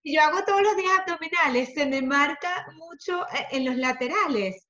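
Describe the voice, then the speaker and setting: A woman host, enthusiastic and quick to agree. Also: sits high, lively intonation, bright, forceful tone. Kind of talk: casual interview chat. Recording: slightly dull sound.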